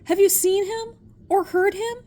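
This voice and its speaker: A woman's voice: very high voice, fairly even intonation, unhurried, darkish tone, pitched above the performer's own voice. A woman playing a spirited young girl in a storybook, speaking earnestly and emphatically. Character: spirited young girl, caring, openly upset.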